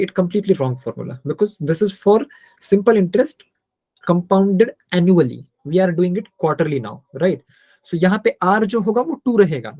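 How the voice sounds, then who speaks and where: Male online maths tutor, Indian accent, relaxed mellow tone, close dry sound, code-switching, interactive step-by-step delivery. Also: high voice, no room sound. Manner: code-switches between Hindi and English, checks in with students often. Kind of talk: interactive online lesson, working through problems aloud.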